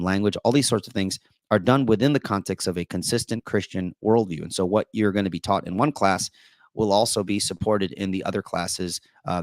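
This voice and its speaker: A man hosting a live stream in a casual, chatty way, his voice still deep after he recently lost it. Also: no room sound. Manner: chatty, with many fillers and restarts. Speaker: apologetics host and teacher.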